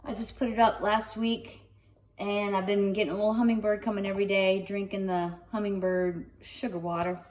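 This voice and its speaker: A woman narrates a children's book in an expressive storytelling style at a medium pitch. She slows for dramatic phrases and sits a little way from the mic. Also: recorded from a distance. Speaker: storybook reader. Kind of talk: children's read-aloud narration with casual asides. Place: sunroom at home.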